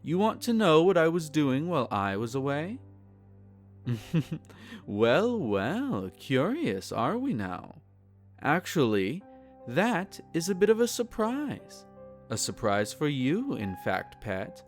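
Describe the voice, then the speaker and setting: A man's voice: on the high side, huge pitch swings, takes it slowly, soft background music, full character voice. A man playing a courtly, possessive vampire lord in an intimate roleplay audio, teasing and formal, savouring his phrases. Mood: teasing, coy. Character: possessive, courtly male vampire lord doting on captive pet. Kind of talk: intimate roleplay audio acting, partly whispered.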